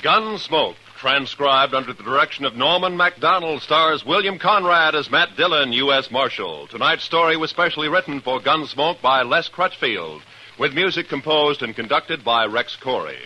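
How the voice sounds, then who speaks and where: Bright, crisp radio announcer man. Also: on the high side, lively intonation, normal speed, poor audio. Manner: formal, crisp announcer delivery. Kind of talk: scripted closing announcement. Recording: old radio transcription with hiss.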